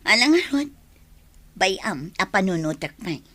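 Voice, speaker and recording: Young Filipino woman , acted, mid-pitched, guarded village maiden weighing a suitor in radio drama. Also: sing-song, a little slow, fairly bright tone, no room sound. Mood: guarded, coy. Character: hesitant unmarried village woman being courted. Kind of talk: Ilocano radio comedy drama.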